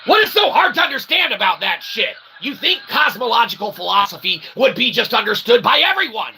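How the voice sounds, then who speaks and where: A man's voice: high-pitched, sing-song, brisk, pushed and bright, shouting, a little hiss or hum, low-quality recording. A man delivering an unscripted, profane opinion rant in an agitated, wordy, emphatic manner. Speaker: opinion vlogger.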